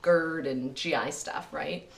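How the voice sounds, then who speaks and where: A woman hosting a casual chat, with a naturally raspy voice and a chatty manner full of laughs and animated retellings. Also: low voice, slow pace. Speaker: podcast host. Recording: roomy echo, mic at a distance.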